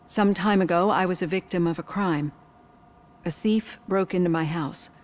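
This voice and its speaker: A woman playing a composed, probing psychologist in a video game, speaking in a measured, articulate, clinical way. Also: lowish voice, lightly acted. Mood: confiding, measured. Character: composed, probing female psychologist with prosthetic hand.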